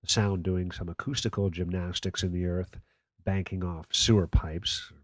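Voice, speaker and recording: An actor playing a skeptical, sardonic late-night call-in radio host in a radio play, with a dry, measured manner. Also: male voice, sits low, level tone, no echo. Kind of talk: radio play acting as talk radio.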